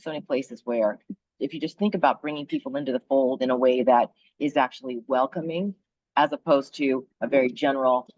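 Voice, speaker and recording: Brief: woman panelist, quick emphatic delivery, muffled lo-fi call audio. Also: deep voice, dry recording. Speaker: webinar panelist. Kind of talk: casual panel talk.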